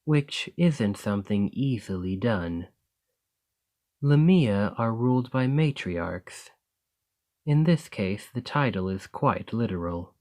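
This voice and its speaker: A man voicing a calm, gracious male lamia noble merchant in an ASMR roleplay, speaking softly in a measured, formal way. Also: fairly low pitch. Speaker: boss speaking to the listener.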